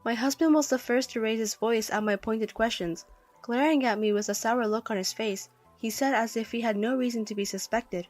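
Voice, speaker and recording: Story narrator: woman, fairly high voice, scripted first-person read over light music. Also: moderate pace, darkish tone.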